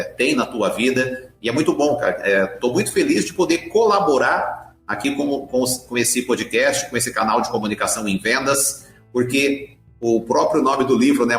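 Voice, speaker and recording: A middle-aged Brazilian man speaks as a podcast guest with a very mobile, expressive pitch. He tells his story emphatically, repeating key words. The recording is roomy, with faint music behind him. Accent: Brazilian Portuguese. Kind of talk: conversational storytelling in an interview.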